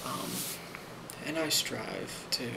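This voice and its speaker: A man doing an ASMR role play as a company boss, speaking softly and often near a whisper, in a halting, improvised way with many ums. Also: mid-range voice, lively intonation. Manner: soft-spoken, often slipping toward a whisper. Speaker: ASMR role-player playing a company boss giving a performance review. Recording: clearly audible background noise.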